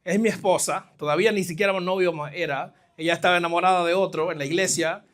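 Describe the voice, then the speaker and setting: A middle-aged man with a Caribbean Spanish accent giving a conversational, halting sermon as a guest pastor, addressing the congregation directly. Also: high voice, steady tone, a bit bright. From New Jersey, of Caribbean family. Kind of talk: live sermon, personal testimony. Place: church, speaking to a congregation.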